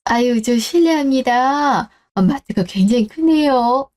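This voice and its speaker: Woman as elderly grandmother, soft mellow tone, sighing, fussing, apologetic, doting, radio drama. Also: sits high, animated, measured pace. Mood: puzzled, polite. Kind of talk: Korean radio-drama story acting.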